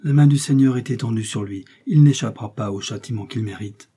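A man's voice: medium pitch, fairly quick, mellow, slightly rough. A man voicing a young, scheming woman prisoner who feigns pious, desperate anguish in a theatrical, pleading manner for a storybook. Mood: righteous indignation.

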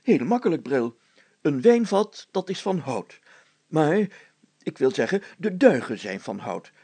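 A man voicing a pompous, fussy, excitable town mayor in a story, exclaiming and stammering when flustered. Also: a little high, unhurried, husky, dry recording, full character voice. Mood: instructive.

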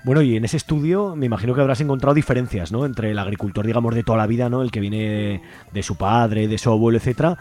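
A man's voice: mid-range voice, a little mellow. A man hosting a radio talk show, interviewing guests and a caller in a conversational way with frequent tag questions. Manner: brisk, leans on tag questions. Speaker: radio host.

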